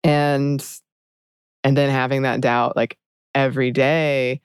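Low, smooth-voiced woman podcast host. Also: level tone, no room sound, studio quality. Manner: earnest, reflective, halting with restarts. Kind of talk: candid conversational podcast chat.

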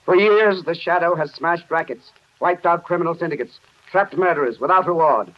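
An actor playing a stern, forceful city mayor in a 1930s radio drama, clipped and accusing. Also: male voice, on the high side, normal speed, natural voice. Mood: angry, demanding. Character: stern, demanding city mayor under pressure. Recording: muffled vintage 1930s radio recording.

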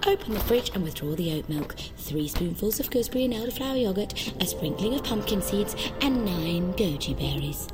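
A woman voicing a calm, controlling female AI assistant that gives precise, soothing commands in an audio drama. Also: mid-range voice, fairly quick, hardly any pauses, lightly acted. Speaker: narrator and AI voice.

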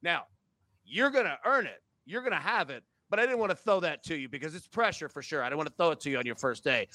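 A man's voice: on the high side, animated, quick pace, sharp tone, no echo. A man hosting a sports betting show, upbeat and slangy, calling his guests dude and man. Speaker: show host. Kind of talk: casual sports betting chat.

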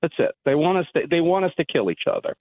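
A man calling in to a radio show who gives a heated, halting opinion with many restarts. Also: on the high side, very animated, dry recording. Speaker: caller. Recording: tinny phone line.

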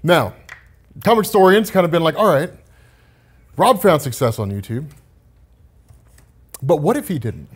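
A man in casual banter with friends, improvising silly comic-book stories, animated and playfully defensive. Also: a little high, moderate pace, pauses often, husky.

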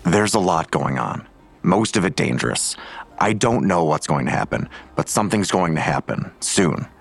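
Curt world-weary lawman, really deep gravelly voice, blunt and guarded, audio drama. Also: expressive, normal speed, exaggerated voice. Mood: grave, urgent. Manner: terse, blunt. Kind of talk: full-cast audio drama acting.

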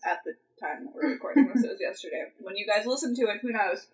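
A woman's voice: medium pitch, expressive, fast talker. A woman in her twenties co-hosting a podcast in casual chat, rambling with lots of "like" and "um". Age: 20s. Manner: rambling, full of "like" and "um". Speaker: podcast co-host.

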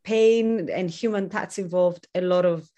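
A woman from Greece in her thirties, a jet-lagged guest on a video-call interview, chatty and quick to laugh at herself. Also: on the low side, mellow. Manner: chatty, self-deprecating, laughs easily. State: jet lagged, short on sleep. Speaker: guest, entrepreneur. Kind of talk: casual podcast interview.

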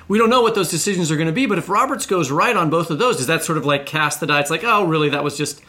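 A woman's voice: sits low, lively intonation, quick pace, pushed and bright. A woman in a casual podcast discussion, asking questions in an informal, probing way.

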